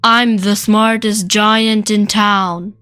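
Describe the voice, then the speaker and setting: A woman's voice: mid-range voice, fairly even intonation, slow pace, fairly bright tone. A woman voicing a kind male giant in a storybook, dropping her voice a little and chanting his song. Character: kindly scruffy male giant, gentle and proud. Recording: faint music behind.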